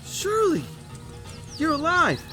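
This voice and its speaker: A man playing an eager, boyish, loyal and excitable young man in a comic audio drama. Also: very high-pitched, measured pace, full character voice. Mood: excited. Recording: music underneath.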